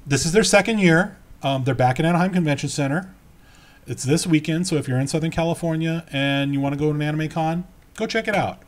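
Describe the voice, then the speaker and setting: A man's voice: on the high side, fast. A man with an American accent chatting casually as a podcast co-host, close to a microphone in a small room at home. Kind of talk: casual chat.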